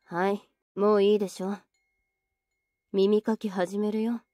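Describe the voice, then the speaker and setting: Tsundere older sister for anime ASMR, played by a woman: unhurried, mellow, scolding yet affectionate, intimate close mic. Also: mid-range voice, level tone, dry recording, muffled recording, lightly acted. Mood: mildly annoyed. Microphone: very close, dry microphone.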